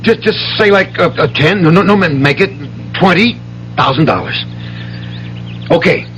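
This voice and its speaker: A man's voice: sits high, animated, normal speed, a bit bright. Desperate gambler father, wildly swinging voice. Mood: bitter, agitated. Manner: stammering, pleading, emphatic. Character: desperate gambling-addicted father, pleading and bitter. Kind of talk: 1970s radio drama acting. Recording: noisy old radio broadcast with hum.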